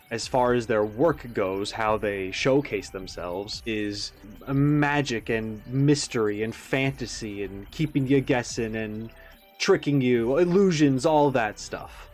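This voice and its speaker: A man hosting a reaction video with casual commentary, stressing words theatrically and pausing for emphasis. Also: mid-range voice.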